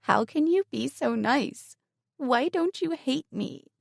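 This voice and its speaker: Fragile weepy young woman, high and shaky, read by a woman narrator. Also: animated, takes it slowly, creaky, no echo, clean studio sound, heavily acted. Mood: sobbing apology. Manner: high, trembling, pleading. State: crying, choked up.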